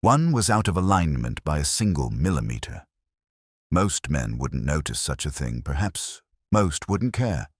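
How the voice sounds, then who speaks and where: A man narrating a detective story as a melancholy, precise older police inspector, in measured, solemn, literary phrasing. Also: extremely low pitch, big pitch swings, slightly rough, no echo, clean studio sound, exaggerated voice. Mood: brooding, reflective.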